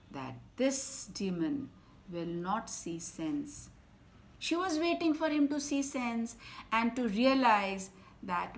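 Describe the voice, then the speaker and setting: Indian woman telling a dramatic kids' story. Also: medium pitch. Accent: Indian English. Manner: dramatic, swings between loud emphasis and soft whispers. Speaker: storyteller for children. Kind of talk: expressive bedtime story reading.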